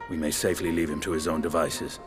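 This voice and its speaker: A low-voiced, gruff lancer from a fantasy video game. He is aloof and dry, choosing terse, old-fashioned formal words. His voice rings with long reverb, and music plays behind him. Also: little pitch movement, fast talker. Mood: grave, matter-of-fact. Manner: terse, formal archaic phrasing. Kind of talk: fantasy game cutscene acting.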